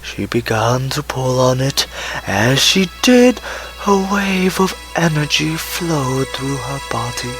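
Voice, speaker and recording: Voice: mid-range voice, sing-song, takes it slowly, music in the background. A narrator telling a story in a dramatic, scripted style, at times dropping almost to a whisper.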